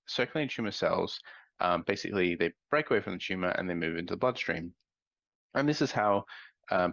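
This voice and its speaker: Man, deep gravelly voice, nasal from a cold, measured science talk, dull dry recording. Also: fairly bright tone, no echo. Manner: measured, explanatory, with frequent ums. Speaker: researcher presenting a talk. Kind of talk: scientific conference presentation.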